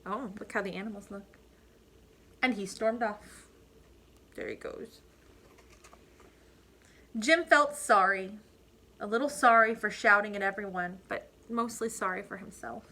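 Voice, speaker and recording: Very bright, expressive woman reading to children. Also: medium pitch, a little hiss or hum. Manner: deliberate emphasis, asides to young listeners. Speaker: teacher reading aloud to children. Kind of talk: expressive picture-book read-aloud.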